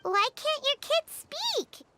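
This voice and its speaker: A woman voicing a tiny, excitable female companion who talks about herself in third person, in video game dialogue over game music. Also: extremely high pitch, slow, very noisy, full character voice.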